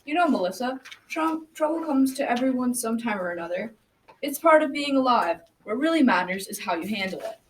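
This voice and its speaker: A woman's voice: fairly high pitch, lively intonation, brisk, natural voice. Folksy storytelling grandfather played by a young teenage girl, school radio play, noisy distant recording. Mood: patient, folksy. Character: folksy old grandfather telling a tale to grandchildren. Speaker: student narrator in a school radio drama. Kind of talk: scripted storytelling. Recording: distant mic, clear background noise.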